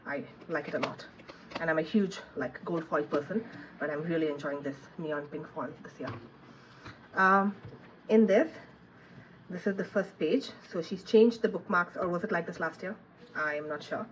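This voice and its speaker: A low-voiced woman, a little stuffy from a cold, chatting her way through an unboxing review. She thinks aloud, trails off and fills gaps with ums. The recording is muffled and lo-fi, with a faint hiss behind her. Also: normal speed. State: has a cold, sniffling. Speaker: planner reviewer.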